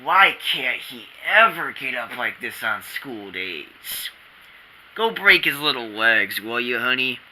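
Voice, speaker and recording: A performer voicing a mild, weary suburban father with an understated, deadpan manner. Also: mid-range voice, slow, pitched below the performer's own voice, full character voice, rough recording. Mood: weary, dry.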